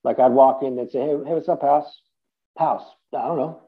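A man's voice: mid-range voice, fairly even intonation, normal speed. A man in his fifties from the Boston area, telling stories as an interview guest in a rambling, casual way with many restarts. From Boston area, USA. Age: 50s. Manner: rambling storytelling with many restarts and "you know". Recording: tinny phone-like line.

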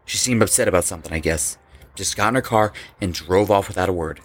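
Man acting a husky, sneering rock musician. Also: sits low, fast, fairly bright tone. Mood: defensive, irritated. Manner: sneering, slangy, dismissive. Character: hostile, dismissive male rock band member, crude and evasive. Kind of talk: audio drama acting.

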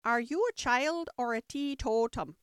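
A woman's voice: fairly high pitch, a little slow, smooth, no room sound, studio quality, lightly acted. A woman voicing a bossy, snappish female sheep from a storybook, curt and scolding. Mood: brisk, impatient. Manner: curt, scolding, barking commands. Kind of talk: storybook character voice.

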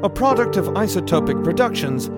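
Man reading credits over loud guitar music. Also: high voice, very animated, a little fast. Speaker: podcast credits announcer. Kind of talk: scripted credits and promo read. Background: plucked guitar music.

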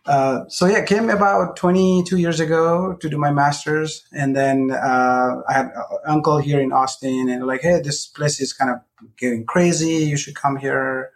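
A man in his forties from Nepal, a podcast guest talking in a friendly way, pausing often with fillers. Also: on the high side, fairly even intonation. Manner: friendly, halting with many fillers. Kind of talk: casual interview chat.

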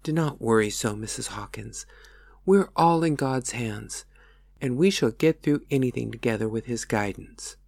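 Gentle frontier pastor, read by a woman. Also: extremely low pitch, normal speed, few pauses, no echo, pitched below the performer's own voice. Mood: compassionate. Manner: soft, calm, reassuring. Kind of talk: reading her own novel aloud.

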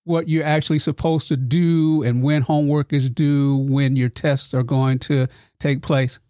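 A man's voice: on the high side, level tone, measured pace, mellow, dry recording, clean studio sound. A man hosting a podcast interview with his students, enthusiastic and formal in his introductions. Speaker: podcast host and instructor.

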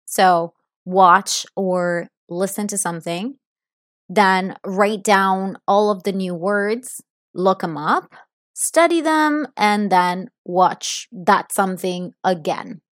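Italian woman, fluent American-sounding English, podcast host, chatty direct address, medium pitch, dry close mic. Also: animated, takes it slowly, no echo. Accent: American-sounding English learned as a second language. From Italy (Milan). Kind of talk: casual solo podcast talk.

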